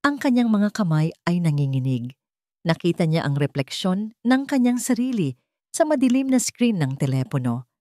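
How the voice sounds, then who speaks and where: A woman with a Filipino accent narrating a scripted dramatic story in measured, short phrases. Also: on the low side, big pitch swings, darkish tone, no room sound, clean studio sound.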